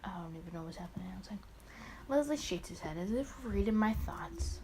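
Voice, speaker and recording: Woman, mid-pitched voice, expressive game read-aloud, muttered asides, self-corrections, faint background noise. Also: brisk. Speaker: gaming video host reading a visual novel aloud. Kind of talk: let's play read-aloud with casual asides.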